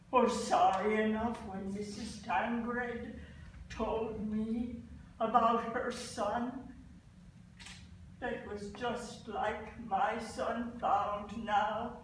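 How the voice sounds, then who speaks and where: Actress as a bereaved mother, high-pitched anguished stage lament, halting, very echoey theatre. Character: grief-stricken Irish mother mourning her son. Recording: echoey theatre, distant mic, faint noise.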